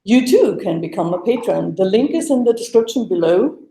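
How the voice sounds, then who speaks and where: Woman, 50s, show host, mellow voice, echoey room, webcam call. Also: medium pitch, lively intonation, fairly quick. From Germany. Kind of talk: live web show Q&A. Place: home room, video call.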